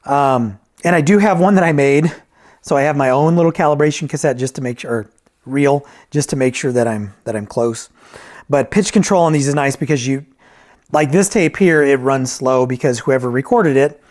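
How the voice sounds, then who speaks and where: A man's voice: medium pitch, normal speed, a little mellow. A man talking casually through an unscripted product review, rambling and correcting himself, often checking in with "right?". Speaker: reviewer and repairer of vintage tape decks.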